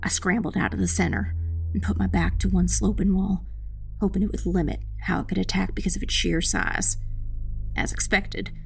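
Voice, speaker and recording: A woman playing a determined witch who narrates her own dark fantasy audiobook tale in a measured, dramatic, husky and creaky voice. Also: fairly low pitch, heavily acted. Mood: tense narrating. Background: music.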